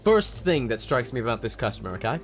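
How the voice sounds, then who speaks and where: A man's voice: medium pitch, quick pace, faint music behind. A man with a slight Australian accent, telling a rambling story on a casual podcast, often restarting his sentences. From Canada. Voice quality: rambling, restarts a lot, gets excited. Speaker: podcast co-host.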